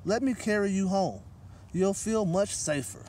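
A man's voice: high voice, unhurried, darkish tone. A man voicing a great big hairy but kindly gorilla in a storybook, with emphatic delivery. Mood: kind, reassuring. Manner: bellowing, emphatic. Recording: distant microphone, light outdoor noise.

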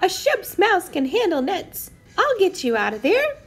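A woman voicing a small, proud but nervous male ship's mouse in a children's storybook, squeaky and excitable. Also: unhurried, faint music behind, full character voice. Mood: confident. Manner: excitable, exclamatory.

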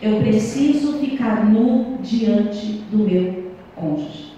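A woman's voice: medium pitch, drawn-out words, mellow, a little hiss or hum. A woman in her thirties giving an earnest church talk to married couples, speaking into a handheld mic in a small church hall. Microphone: handheld mic, far camera. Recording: echoey hall from far off.

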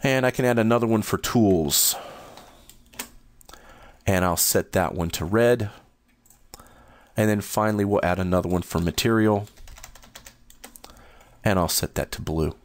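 A man walking through new software features in a steady, explanatory screen-recorded demo. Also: on the low side, unhurried, pauses often. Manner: steady, explanatory, first-person demo narration. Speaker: software demonstrator.

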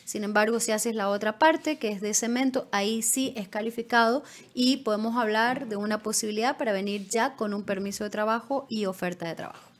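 A woman's voice: on the high side, brisk, few pauses. A middle-aged woman speaking conversationally as an immigration consultant, explaining things directly to viewers by name. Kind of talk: conversational advice on a live show. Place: small indoor studio. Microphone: studio microphone on desk stand, close.

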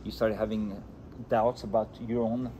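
A man's voice: on the low side, little pitch movement, unhurried, lo-fi sound. A middle-aged man hosting a casual, conversational interview as the questioner. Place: indoor room by large windows, seated at a table. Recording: clearly noisy room ambience.

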